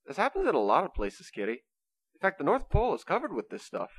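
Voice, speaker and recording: A man's voice: fairly high pitch, huge pitch swings, fast talker, no room sound. A man playing a kindly, patient Saint Nicholas who reasons earnestly, in an amateur comedic radio play. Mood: patient, pleading.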